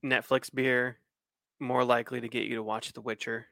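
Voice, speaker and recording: Podcast co-host, male, relaxed and agreeable, slight fry, dry close mic. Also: medium pitch, moderate pace, no room sound. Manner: easygoing, agreeable, laughs often. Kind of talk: casual chat over beers.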